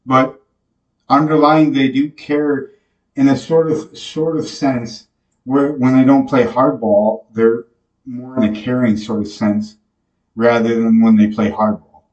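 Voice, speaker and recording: A young man hosting a podcast, talking to the camera in a slow, rambling devotional monologue full of fillers and restarts, recorded in a small bare room. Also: medium pitch, level tone, a little mellow, lo-fi sound. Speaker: podcast host. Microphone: camera mic from a few feet away. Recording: echoey room, voice a bit distant from the mic.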